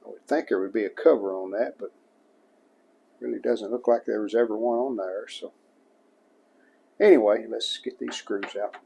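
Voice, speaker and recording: A man giving casual hands-on narration of a repair as a hobbyist restorer, plain-spoken and unhurried, thinking aloud as he works. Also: fairly low pitch, creaky, a little mellow, husky.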